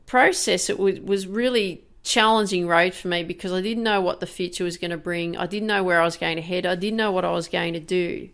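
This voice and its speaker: A woman in her fifties with a slightly low voice, talking her way through a candid, reflective podcast monologue. Her tone is smooth and resonant, with a gravelly edge, and she often stops and restarts mid-thought. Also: a bit bright. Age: 50s. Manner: candid, halting, many restarts and fillers. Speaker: podcast host.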